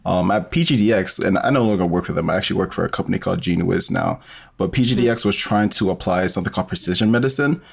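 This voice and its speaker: A man's voice: low voice, fast, gravelly, breathy edge. A man speaking as an interview guest, explaining things informally and step by step with many hesitant fillers. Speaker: podcast guest, bioinformatics professional and storyteller. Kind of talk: casual explanatory interview talk.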